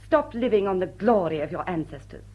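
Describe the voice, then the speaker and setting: A woman's voice: fairly low pitch, sing-song, fairly quick, a little mellow. An actress playing a poised, witty society lady, arch and mock-sweet as she coolly rebukes, in a vintage drawing-room radio comedy. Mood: firm, goading.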